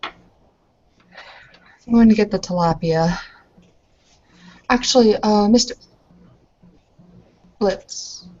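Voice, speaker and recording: A woman's voice: medium pitch, very slow, pauses often, warm tone. A woman chatting casually and role-playing as a player in a tabletop game. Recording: slightly muffled home mic.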